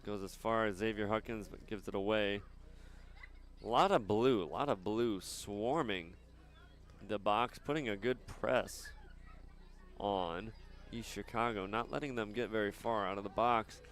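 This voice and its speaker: A man doing live soccer commentary outdoors, chatting casually and haltingly between plays. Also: fairly low pitch, a bit bright. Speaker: sports play-by-play announcer.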